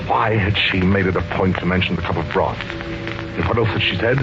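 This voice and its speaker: An actor playing a scheming, guilt-ridden widower in a 1940s radio mystery, speaking in a brooding, deliberate way. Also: male voice, low-pitched. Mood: anxious, brooding. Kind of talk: 1940s radio mystery acting, with brooding first-person narration. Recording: muffled 1940s radio recording with music.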